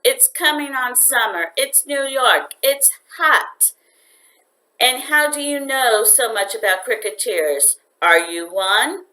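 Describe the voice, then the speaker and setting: A woman's voice: on the high side, expressive, slow, bright, forceful tone, pitched above the performer's own voice, full character voice, rough recording. Woman putting on a dry, scornful, stern mother. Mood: scolding. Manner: dry, scolding.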